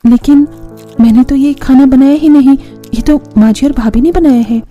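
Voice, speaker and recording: A woman's voice: high-pitched, moderate pace, mellow, faint music behind, natural voice. A woman playing a timid, anxious young bride in a cartoon family story, apologetic and worried in manner. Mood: hesitant. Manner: apologetic, worried, wide-eyed.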